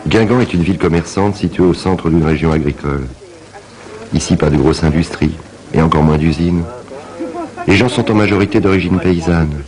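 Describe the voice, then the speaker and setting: Deep-voiced male documentary narrator. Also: moderate pace, a crowd in the background. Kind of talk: scripted narration.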